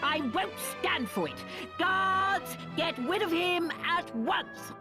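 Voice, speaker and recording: A man's voice: extremely high pitch, expressive, takes it slowly, exaggerated voice. A man voicing a spoiled, pompous young prince in a video game. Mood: indignant. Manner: pompous, whining. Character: spoiled, petulant young prince, haughty and accusing. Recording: music under the dialogue.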